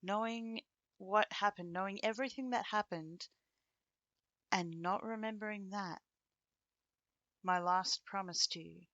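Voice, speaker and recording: A woman's voice: medium pitch, fairly bright tone, dry recording, highs cut off, natural voice. A woman playing a bitter, taunting young ex-girlfriend in an ASMR roleplay, speaking to the listener in a teasing, sardonic way. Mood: incredulous, mocking. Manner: teasing, sardonic, with dry chuckles and pointed pauses.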